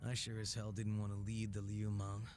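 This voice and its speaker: A man's voice: lowish voice, monotone, normal speed, darkish tone, slight background noise, close to an everyday voice. A man playing a laid-back, sardonic former gang boss in a video game cutscene, talking casually with teasing and profanity. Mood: jaded, candid. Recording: music under the dialogue.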